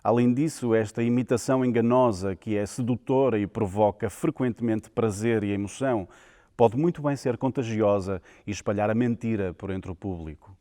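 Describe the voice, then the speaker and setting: Male narrator, European Portuguese accent, dark relaxed tone, scripted educational read, long reverb. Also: fairly low pitch, normal speed.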